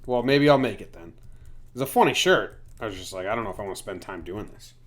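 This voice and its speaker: A man's voice: fairly low pitch, expressive, quick pace. A man hosting a sports podcast in a casual, chatty style. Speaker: podcast host.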